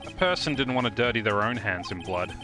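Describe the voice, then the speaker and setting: A man performs a thoughtful young defense attorney from a video game. His voice sits fairly low and his delivery is deliberate, theatrical and reassuring. Clear music plays behind him. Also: animated, close to an everyday voice. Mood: earnest, pondering. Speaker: streamer dubbing a video game live. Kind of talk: video game dialogue read-through.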